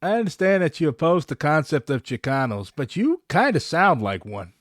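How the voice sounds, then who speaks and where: Joking, slangy podcast host. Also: male voice, a little high, big pitch swings, normal speed, dry recording, studio quality. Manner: joking, slangy, laid-back. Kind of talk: casual chat.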